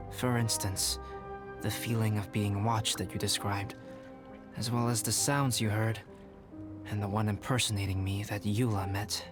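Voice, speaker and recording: A man voicing a calm, reserved young alchemist in a video game, speaking in a measured, formal, contemplative way. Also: on the low side, close to an everyday voice. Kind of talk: scripted video game character acting. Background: music.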